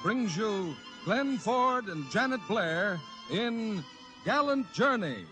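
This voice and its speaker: Male 1940s announcer: high, measured, proclaiming, over loud orchestral-era broadcast music. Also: big pitch swings. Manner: grand, proclaiming. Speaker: show announcer. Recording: vintage 1940s radio broadcast, music behind.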